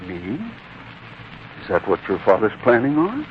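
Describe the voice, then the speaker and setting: A stodgy but kindly old man of learning. His delivery is avuncular, humble and gently halting, in 1940s radio drama style. The audio is dull and faintly noisy. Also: on the low side, wildly swooping pitch. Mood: thoughtful, reassuring.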